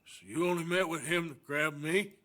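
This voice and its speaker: An actor playing a tough, stoic wounded outlaw in a Western game, dry and defiant. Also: male voice, on the high side, moderate pace, fairly bright tone, natural voice. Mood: wary. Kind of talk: cinematic Western game acting.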